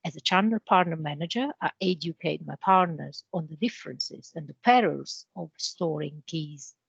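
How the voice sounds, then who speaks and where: A woman with an Italian accent, a guest in a business interview, explaining with homely comparisons in a measured way. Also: low-pitched, vocal fry, breathy edge, dry recording, muffled recording. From Italy. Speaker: interview guest, channel manager.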